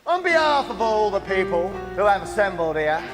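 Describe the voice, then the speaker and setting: A man's voice: sits high, sing-song, moderate pace. An actor playing a pompous townsman declaiming a public speech in a musical radio drama. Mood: grand, rousing. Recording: hall reverb, loud crowd and music.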